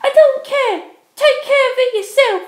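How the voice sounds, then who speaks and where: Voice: very high-pitched, unhurried, echoey room, voice pushed up. A narrator voicing an explosively angry young woman snapping at her ex in an audio story. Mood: scornful, furious.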